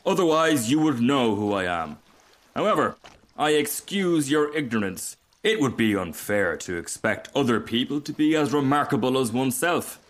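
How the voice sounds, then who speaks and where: A man's voice: mid-range voice, expressive, moderate pace, voice pushed up, lightly acted. A man doing a pompous, conceited firework rocket. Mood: haughty. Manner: haughty, self-important.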